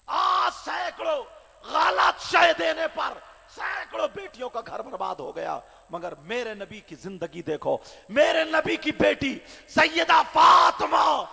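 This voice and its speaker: A man preaching a devotional sermon, telling the story with impassioned shouting and chanted, sing-song phrases. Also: very high voice, vocal fry. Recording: reverberant hall with faint crowd.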